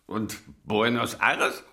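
An actor playing an old tailor, grumpy yet dreamy, in a slow, theatrical manner for a children's radio play. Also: male voice, on the low side, gravelly, pushed and bright, heavily acted. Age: older. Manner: theatrical, slow, repeating himself.

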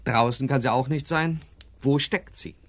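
An actor playing a wry, slightly impatient investigator's assistant, reporting matter-of-factly in a German crime radio play. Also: male voice, medium pitch, normal speed, close to an everyday voice. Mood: wry, puzzled.

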